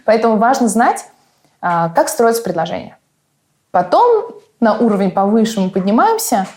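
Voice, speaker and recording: Animated woman chatting and laughing. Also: a little high, moderate pace. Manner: animated, laughing, acts out example phrases. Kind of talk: casual chat about learning English.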